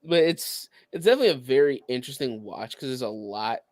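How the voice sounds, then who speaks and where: Male podcast co-host, mid-pitched, dry close recording, casual and halting explanatory delivery. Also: lively intonation, darkish tone, no echo.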